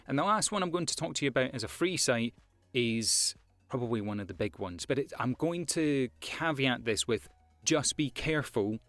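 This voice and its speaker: Scottish male voiceover artist, mid-pitched, dry close sound, faint music behind, casual advice delivery. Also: a bit bright, no echo. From Scotland. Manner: conversational, frequent fillers and repeated words. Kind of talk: casual advice talk to camera.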